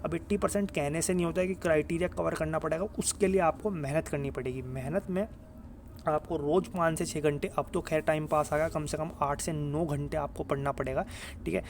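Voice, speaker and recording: A man's voice: a little high, fairly even intonation, a little fast. A man giving informal, earnest and insistent advice to students, often repeating himself and checking that they follow. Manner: earnest, repetitive, insistent, with frequent check-in tags. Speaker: exam guidance YouTuber. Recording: clear ambient background noise.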